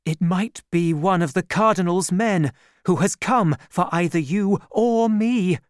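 A woman reading aloud and voicing a charming, calculating woman who speaks in a honeyed, measured way. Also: low-pitched, big pitch swings, darkish tone, no room sound, clean studio sound, pitched above the performer's own voice, exaggerated voice. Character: scheming charming woman, sweet-voiced and calculating.

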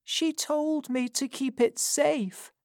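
A woman's voice: high voice, slow pace, darkish tone, no echo, studio quality, voice pushed up. A woman doing a weak old dying woman. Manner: weak, confiding.

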